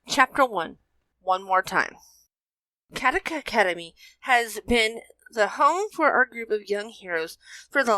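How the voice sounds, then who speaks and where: A woman's voice: fairly high pitch, sharp tone, dry recording, poor audio. A woman narrating a fan fiction story as a scripted reading.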